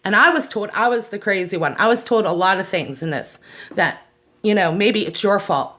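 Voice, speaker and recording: A woman's voice: mid-range voice, normal speed, fairly bright tone. Outspoken, aggrieved American woman. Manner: outspoken, aggrieved, emphatic, repeating phrases for stress. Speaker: host of a personal awareness show. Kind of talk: unscripted personal monologue, testimonial.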